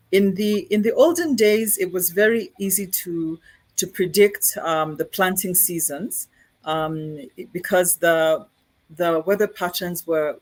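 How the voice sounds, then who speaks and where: A guest speaker from Kenya with a Kenyan accent, answering questions in a halting way and often repeating words while explaining. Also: mid-range voice. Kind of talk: answering questions at an online conference.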